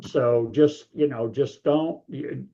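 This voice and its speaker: An older man speaks in a big, warm, mellow voice and tells things in a folksy, rambling way. The audio sounds dull and a little lo-fi. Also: medium pitch, takes it slowly. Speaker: author and guest. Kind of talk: casual interview talk.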